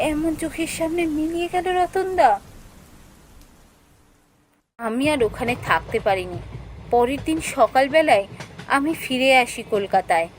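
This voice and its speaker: A woman playing an anxious young female office worker in a cartoon story, speaking in an expressive, emotional way. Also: high voice, slight background noise, low-quality recording. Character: anxious young female office worker Soumi, telling her ghost encounter. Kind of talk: dramatic scripted story narration and dialogue.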